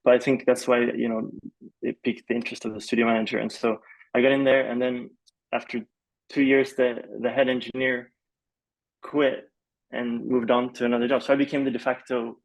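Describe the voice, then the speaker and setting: Rambling man with a creaky, gravelly edge. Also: medium pitch, little pitch movement, fairly quick, low-quality recording. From Malaysia. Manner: rambling, hesitant, peppered with "like" and "you know". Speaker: podcast guest, film composer. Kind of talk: casual interview storytelling.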